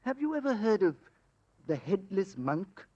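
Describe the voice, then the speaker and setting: An actor playing an eager, courtly investigator of the occult, speaking in a theatrical, emphatic and genteel manner in a 1940s-style radio drama. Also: male voice, high-pitched, sing-song, measured pace, dark tone, no echo, full character voice. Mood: polite, intent. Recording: dull, dry old radio recording.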